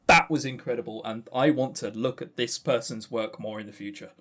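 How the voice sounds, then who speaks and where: A man co-hosting a podcast, chatting casually and opinionatedly about games in a halting, animated way. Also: mid-range voice. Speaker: podcast co-host.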